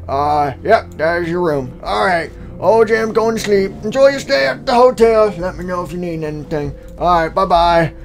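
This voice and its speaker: A man's voice: sits high, normal speed, vocal fry, few pauses, soft background music. A man putting on an old hotel owner who drawls and mumbles his way through a rambling tour, in a playful game roleplay. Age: older.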